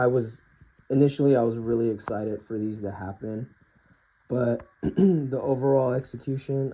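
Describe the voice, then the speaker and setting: A hoarse man talking informally and with strong opinions in an unscripted collector's review, often clearing his throat. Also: mid-range voice, dull audio. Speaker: hoodie collector reviewing products. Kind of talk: casual unscripted compare-and-contrast review.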